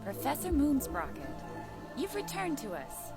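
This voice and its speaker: Wry, high-voiced station doctor. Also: female voice, huge pitch swings, unhurried, music in the background, heavily acted. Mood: wary, wry. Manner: politely guarded, wry. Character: dry, exasperated female space-station doctor.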